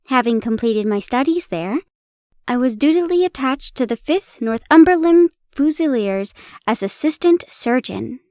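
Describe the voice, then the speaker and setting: A young woman in a playful roleplay, chatting warmly to the listener and reading an old story aloud, giggling and stumbling over old place names. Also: high-pitched, animated, normal speed, no echo, clean studio sound. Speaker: audio roleplay performer.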